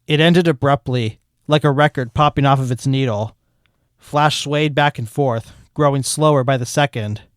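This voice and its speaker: A man narrating a fan fiction chapter aloud in steady storytelling style. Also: mid-range voice, moderate pace, clear, resonant tone, dry recording, studio quality.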